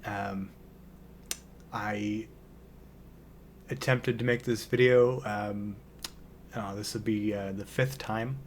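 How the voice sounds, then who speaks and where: Hoarse, hesitant man reading poetry. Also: lowish voice, steady tone, slow, long pauses, slight background noise. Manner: hesitant, many ums and restarts. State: slightly hoarse voice. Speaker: poetry channel host. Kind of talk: casual intro and poetry reading.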